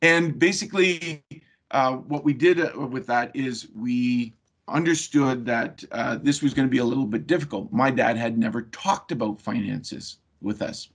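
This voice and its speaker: A man's voice: mid-range voice, normal speed, husky. A man giving a webinar talk over a video call, telling a personal story in a conversational way with frequent fillers. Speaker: webinar presenter.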